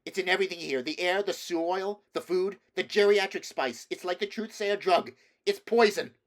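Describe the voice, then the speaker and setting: A man voicing an intense teenage boy who swings between anguished shouts and cold, iron-controlled speech in an audiobook reading. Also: medium pitch, normal speed, pitched above the performer's own voice, lightly acted.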